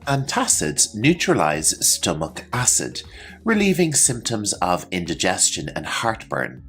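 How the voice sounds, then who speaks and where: A man with a low voice narrates a scripted educational lesson. His pitch moves in a very lively way as he explains terms like a teacher. Music plays along with the voice. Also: a little slow. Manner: clear, instructional, explaining terms and definitions. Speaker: English teacher presenting a medical vocabulary lesson.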